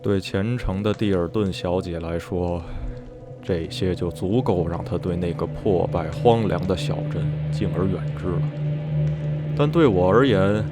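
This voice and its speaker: Haunted storyteller, male actor, low register, brooding and measured with feverish intensity, horror radio drama over music. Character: male narrator recounting eerie memories, obsessed and haunted. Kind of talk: dramatic radio play narration.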